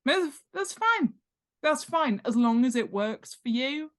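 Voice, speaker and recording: A woman's voice: high-pitched, animated, takes it slowly. A woman chatting casually as an interview guest, talking in a humorous, self-deprecating way about herself and her work. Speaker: guest author and world-building expert.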